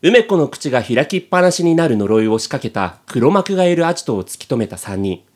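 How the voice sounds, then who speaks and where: A man's voice: mid-range voice, big pitch swings, brisk, a little mellow. A man narrating a scripted comic radio drama in a dramatic storytelling style.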